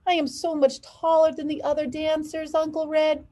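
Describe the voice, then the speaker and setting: A woman's voice: sits high, flat delivery, normal speed, mellow, pitched above the performer's own voice, lightly acted. A woman reading aloud a sassy, sensitive but defiant girl from a children's story. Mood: upset.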